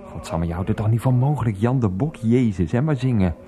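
An actor playing an irritable, evasive husband in a Dutch radio play, with a sarcastic, grumbling manner. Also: male voice, mid-range voice, expressive, brisk, warm tone, close to an everyday voice. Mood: grumbling, resentful. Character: irritable, evasive philandering husband, sacked and resentful. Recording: music behind the voice.

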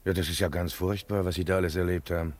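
A man's voice: low-pitched, fairly even intonation, quick pace, darkish tone, natural voice. An actor playing a sympathetic, appalled man listening to a tale in a radio play, exclaiming piously.

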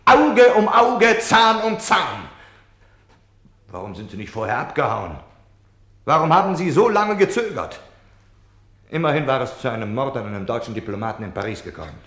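A defensive, self-pitying Nazi official, swinging widely in pitch. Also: male voice, very animated, normal speed, long pauses, fairly bright tone, reverberant. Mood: agitated, bitter. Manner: self-justifying, swinging from subdued confession to indignant outbursts. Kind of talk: German radio play acting.

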